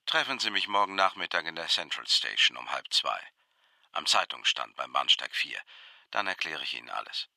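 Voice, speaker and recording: A man's voice: rumbling low, very animated, moderate pace, vocal fry, bright, forceful tone, full character voice. An actor playing a secretive, commanding man in a noir radio play, clipped, evasive and controlled. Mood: secretive, brisk. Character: secretive, commanding male client in spy intrigue.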